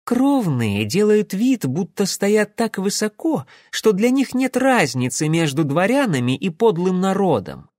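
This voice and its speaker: Man voicing an anxious, boastful young man, pitched high. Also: sing-song, moderate pace, no echo, clean studio sound. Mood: scornful, condescending. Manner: fawning, pompous, self-important. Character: anxious young merchant's son, boastful, servile, eager. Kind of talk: audiobook character voice.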